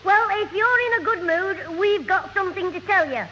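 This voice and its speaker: An actor playing a cheeky, wisecracking schoolboy in a radio sitcom, with pert quips. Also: male voice, extremely high pitch, animated, moderate pace. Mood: cheeky, wary. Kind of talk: 1970s radio sitcom acting. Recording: muffled old radio, clear hiss, reverb.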